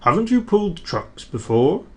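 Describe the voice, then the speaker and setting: A man voicing a stern but kindly portly railway director in a storybook reading, measured and firm. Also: high voice, sing-song, a bit bright, pitched above the performer's own voice.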